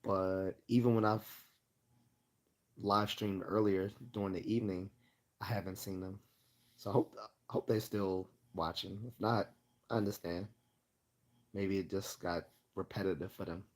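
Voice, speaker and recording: Guy with a really low voice doing a chatty live q&a, kinda hoarse, clearing his throat a lot. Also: fairly even intonation, a little slow, long pauses. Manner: rambling, chatty, laughs often. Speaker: livestream host. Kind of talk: casual livestream Q&A.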